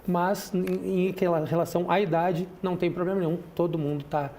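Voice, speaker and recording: A high-pitched man with a gravelly, mellow voice explains his health specialty in a TV studio. He talks in a didactic way, full of restarts and "né" tags, with a faint hiss behind him. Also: flat delivery, fairly quick. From Rio Grande do Sul, Brazil. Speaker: chiropractor guest. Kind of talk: explanatory interview answers.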